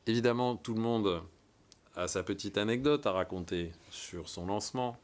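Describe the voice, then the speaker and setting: A man's voice: lowish voice, moderate pace. Enthusiastic man commentating a rocket launch. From United States. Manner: enthusiastic, conversational, many hesitations. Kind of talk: live event commentary.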